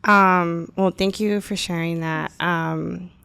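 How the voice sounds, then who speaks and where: A woman's voice: lowish voice, fairly even intonation, slow pace, vocal fry, pushed and bright, clear, resonant tone. A woman co-hosting a casual interview podcast, encouraging and chatty, with lots of "wow" and "like". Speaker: podcast co-host.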